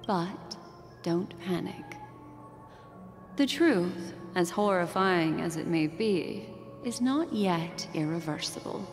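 A woman voicing a mysterious fortune-teller in a video game, telling a slow, soothing bedtime-story recap. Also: fairly low pitch, animated. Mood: soothing, enigmatic. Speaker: game character narrating a recap. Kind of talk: video game cutscene voice acting. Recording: game audio with long reverb and music.

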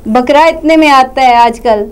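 A woman's voice: high-pitched, measured pace, clean tone. A young woman hosting a children's holiday puppet show from a TV studio, chatting with the puppets. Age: young adult. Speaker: TV host.

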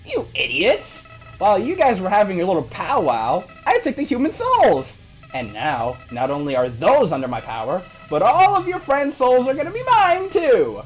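A woman plays a spiteful talking flower who gloats over his victims. The voice drops low and menacing, then leaps up into wild cackles. This is a fan-made cartoon dub. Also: huge pitch swings, normal speed, faint music behind, poor audio. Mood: gloating. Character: sneering evil talking flower, small male villain.

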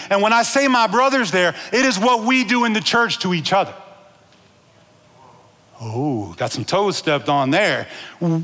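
A high-pitched, bright-toned man in his thirties preaches with lively gestures, calling out to his congregation. He speaks into a headset mic, and his voice rings out with the long reverb of a large church auditorium. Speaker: pastor. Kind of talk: sermon. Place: large church stage with blue lights.

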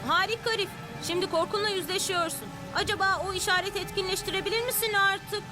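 A woman's voice: very high-pitched, fairly even intonation, quick pace. A woman voicing a brave young boy ice warrior who talks firmly and urges others on in a children's action cartoon. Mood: triumphant. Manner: firm, commanding, encouraging. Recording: music under the voice.

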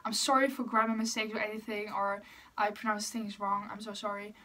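A woman's voice: medium pitch, flat delivery, fairly quick, sharp tone, distant mic. A young woman from the Netherlands with a Dutch accent, chatting casually and a bit apologetically as she reacts to a music video in her bedroom. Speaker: YouTuber. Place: bedroom with posters.

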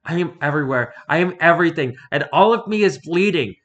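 A man's voice: a little high, expressive, brisk, a bit bright, highs cut off, voice pushed up. Man acting out anguished doctor, raised desperate voice. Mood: desperate pleading. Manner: desperate, pleading, intense. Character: anguished bleeding doctor pleading in endless torment. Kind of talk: dramatic reading of horror fiction.

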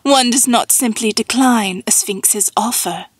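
A woman's voice: a little high, measured pace, breathy edge, dry recording. A woman playing an ancient, all-knowing sphinx in a fantasy audiobook, speaking in a dreamy, formal drone with cool menace. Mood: imperious. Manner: dreamy, droning monotone, formal and cryptic.

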